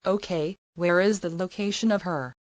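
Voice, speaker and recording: A woman's voice: fairly low pitch, moderate pace, lo-fi sound, natural voice. A woman playing a businesslike emergency call dispatcher in scripted phone dialogue.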